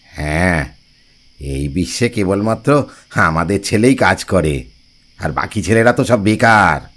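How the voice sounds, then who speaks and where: Grumpy village dad, really deep voice, sarcastic, audio drama style. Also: male voice, lively intonation, unhurried, fairly bright tone, exaggerated voice. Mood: sarcastic, annoyed. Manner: gruff, sarcastic, scolding. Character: grumbling older father, head of a village household.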